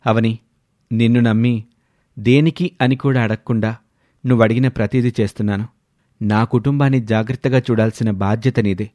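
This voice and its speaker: A man's voice: medium pitch, fairly even intonation, moderate pace, long pauses, a little mellow, no echo, natural voice. A man voicing an earnest, firm grown son and husband in a family storybook tale.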